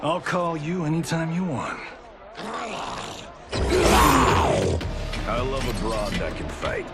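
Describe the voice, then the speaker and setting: An actor playing a cocky, wisecracking American army officer from the Second World War, brash and slangy in a video game. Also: male voice, fairly high pitch, very animated, normal speed. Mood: flirty, cocky. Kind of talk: cinematic video game acting. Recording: game cutscene with music behind.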